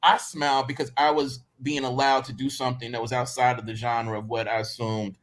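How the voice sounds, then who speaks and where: A man's voice: mid-range voice, level tone, fairly quick, fairly bright tone. A man from Mississippi with a Southern American accent, talking casually and reflectively as an interviewer, circling back over phrases as he builds a thought. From Mississippi, United States. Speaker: interviewer, writer in conversation.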